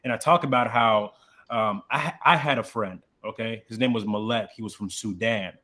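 A man who is an author, telling personal stories in a relaxed, reflective way in casual conversation. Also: lowish voice, normal speed. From Congo, raised partly in South Africa and Texas.

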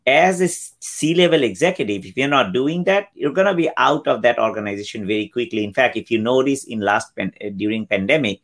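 A man's voice: mid-range voice, fairly bright tone. A man in his fifties from Bangladesh, a guest explaining technology trends in an interview and acting out quoted exchanges. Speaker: guest, cloud solutions architect. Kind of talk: interview answers.

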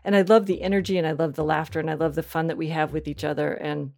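A woman's voice: deep voice, flat delivery, fast talker, vocal fry, darkish tone. A woman chatting casually as an interview guest, effusive and self-deprecating, acting out little asides as she tells her stories. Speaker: interview guest, podcast writer and voice actor.

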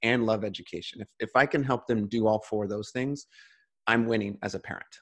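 A man's voice: on the low side, brisk, fairly bright tone. A man in casual conversation, talking earnestly with frequent restarts.

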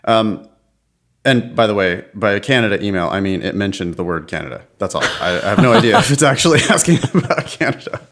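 A man's voice: lowish voice, animated, brisk, a bit bright. A man co-hosting a test-prep podcast, chatting casually in a blunt, exasperated way and laughing often. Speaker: podcast co-host.

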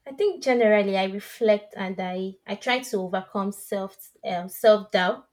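A woman's voice: mid-range voice, normal speed. A woman from Nigeria with a Nigerian accent, chatting casually and laughing often as she tells stories. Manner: animated, laughs often, acts out quotes. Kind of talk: casual chat.

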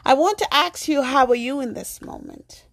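A woman from Jamaica hosting her own podcast, talking in a soft, slow and earnest reflective monologue. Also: sits high. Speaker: podcast host.

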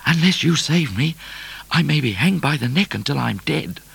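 A boyish storybook bushranger, put on by a male narrator, plucky and earnest. The voice sits well above a deep man's talk and moves about with animated pitch. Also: moderate pace, vocal fry, slightly rough, full character voice. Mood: worried, urgent. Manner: sing-out, earnest calling. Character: plucky, boastful, polite outlaw hero, boyish and earnest.

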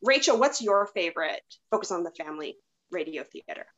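A woman's voice: on the low side, expressive, brisk, a bit bright. A woman hosting a podcast in a casual, chatty, anecdotal way. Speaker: podcast host. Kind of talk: casual chat. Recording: slightly dull, lo-fi microphone.

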